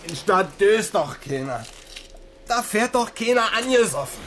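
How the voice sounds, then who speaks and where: An actor playing a shaken middle-aged long-haul trucker in a radio crime drama, speaking colloquial with a tinge, agitated and exclamatory. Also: male voice, high-pitched, big pitch swings, a little slow, a bit bright, exaggerated voice. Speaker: truck driver. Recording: noisy 1980s radio play recording.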